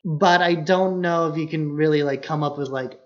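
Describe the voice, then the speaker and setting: A man's voice: a little high, steady tone, normal speed. Informal male co-host on lo-fi podcast audio. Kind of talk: casual film discussion.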